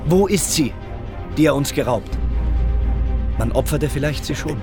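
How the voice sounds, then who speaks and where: An actor playing an earnest, brave young prince in a radio drama, declaiming rhymed verse with fervour. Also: male voice, fairly high pitch, expressive, unhurried, over music. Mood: indignant. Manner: declamatory, fervent.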